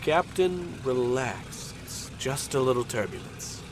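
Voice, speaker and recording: An actor playing a bossy, pompous, short-tempered space captain in a scripted old-time radio comedy. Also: male voice, medium pitch, lively intonation, unhurried, slight background noise, natural voice. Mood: breezy command. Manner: pompous, blustering, commanding.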